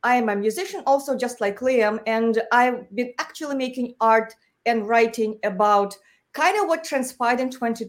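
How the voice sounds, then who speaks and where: A woman from Russia, a guest on a podcast, talking and laughing easily. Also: on the high side. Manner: warm, talkative, laughs easily. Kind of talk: podcast conversation.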